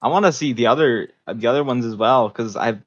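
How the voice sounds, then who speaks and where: A man's mid-range voice comes through a slightly muffled, lo-fi microphone. He chats casually as a podcast co-host, informal and quick to swear. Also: mid-range voice. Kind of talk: casual chat about video games. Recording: dull, lo-fi microphone sound.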